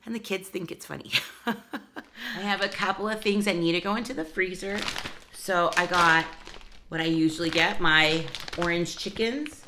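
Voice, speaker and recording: Hoarse low-pitched woman vlogger. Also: moderate pace, pushed and bright, slight background noise. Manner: chatty, talks straight to viewers with asides. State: hoarse from a cold, losing her voice. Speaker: vlogger showing a grocery haul. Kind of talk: casual chatty vlog talk.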